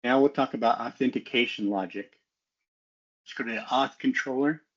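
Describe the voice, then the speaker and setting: A man's voice: mid-range voice, normal speed, muffled recording. A man giving a methodical, explanatory technical walkthrough as a student developer, in a semi-scripted presentation style.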